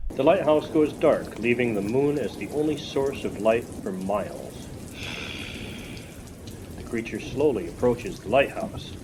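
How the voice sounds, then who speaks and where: A man's voice: mid-range voice, lively intonation, measured pace. A man giving scripted narration for a radio play as its narrator. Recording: noisy rain and storm ambience.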